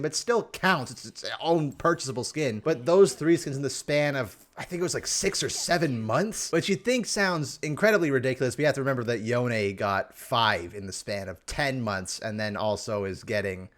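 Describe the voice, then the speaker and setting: A man's voice: medium pitch, lively intonation, normal speed, hardly any pauses, fairly bright tone. Man doing casual gaming commentary. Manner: rambling, conversational, sarcastic asides. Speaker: host of a game review series.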